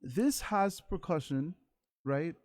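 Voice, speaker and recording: A man's voice: on the high side, expressive, slow pace. A man who is a singer guest on a radio show, talking candidly and hesitantly with many fillers. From Saint Thomas. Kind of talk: casual interview chat. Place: radio studio.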